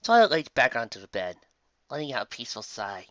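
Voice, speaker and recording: Guy narrating a story, really animated, forceful bright delivery, dry kinda dull mic. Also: medium pitch, a little fast, no echo. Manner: dramatic, expressive reading. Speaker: fan fiction narrator. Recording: muffled, dull, dry home recording.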